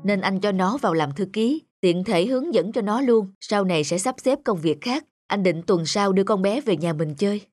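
A man voicing a patient, reasonable young husband in an animated drama, speaking in an earnest, explanatory way. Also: high voice, moderate pace, hardly any pauses, soft background music. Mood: patient, reassuring. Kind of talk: animated cartoon drama acting.

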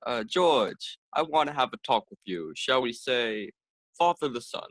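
A man's voice: medium pitch, expressive, measured pace, fairly bright tone, dry recording. A man playing a jovial, chuckling older boss in a 1940s-style radio comedy. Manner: hearty, chuckling.

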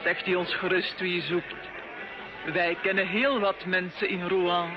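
Wary but softhearted tavern girl, period radio drama, female performer; dull archive sound. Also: on the low side, little pitch movement, a little slow, over music, natural voice. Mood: earnest. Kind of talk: old radio drama acting. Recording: dull, noisy old radio recording.

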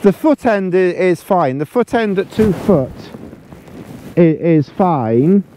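Easygoing man in his forties, unhurried camping-gear vlogger with a light hiss behind him. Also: high-pitched, animated. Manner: chatty, rambling, repeats words, chuckles. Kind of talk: casual gear review. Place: inside a small tent, lying in a sleeping bag.

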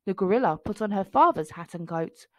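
A woman narrating a children's picture book expressively, phrasing clearly for young listeners. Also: medium pitch, animated, a little fast, a bit bright, no echo.

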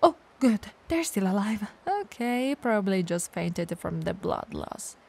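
A woman playing a teasing, dominant but caring vampire in an ASMR audio roleplay, breathy and gravelly, often dropping to whispers, coaxing and playfully condescending. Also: medium pitch, very animated, measured pace, darkish tone, full character voice. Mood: musing.

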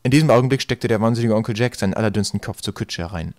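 A man's voice: on the low side, very fast, darkish tone, no room sound, studio quality. A man narrating a storybook as a scripted audiobook reading. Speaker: audiobook reader.

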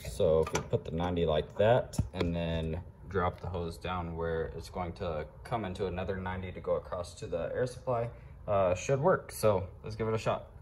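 A man vlogging casually and informally, walking viewers through a hands-on DIY project. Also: lowish voice. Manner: informal, addressing viewers as guys. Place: home garage workshop. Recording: handheld recording with clear background noise.

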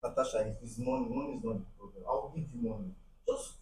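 A man's voice: mid-range voice, fast, a little mellow, close to an everyday voice. A man with a Nigerian accent playing a pushy, lecherous client who insists and wheedles, repeating himself in naturalistic drama dialogue. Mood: wheedling. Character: pushy, lecherous male client pestering a visiting female barber. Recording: roomy, distant mic, faint noise.

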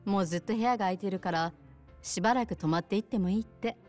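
Actress as kind bar hostess, medium pitch, soft-spoken, polite, game cutscene, faint music behind. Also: female voice, fast talker, close to an everyday voice. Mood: helpful, friendly. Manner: polite, considerate, gently casual. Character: kind, soft-spoken adult woman, small bar owner. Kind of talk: video game cutscene acting.

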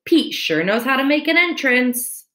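A woman's voice: sits high, unhurried. A woman in her thirties reading a children's storybook aloud like a teacher, expressively, at a computer mic in a room at home. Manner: expressive, addressing young children. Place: indoor room at home, screen-recorded webcam video. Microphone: computer mic at webcam distance. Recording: roomy, echoing home recording.

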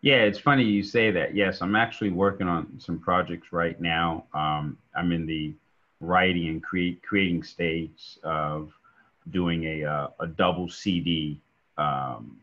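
A middle-aged man, chatting relaxed as a guest on a video call, with many fillers. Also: deep voice, measured pace. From New York. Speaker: guest musician. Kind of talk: casual interview over video call. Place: home room indoors. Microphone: video call webcam. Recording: dull lo-fi call audio.

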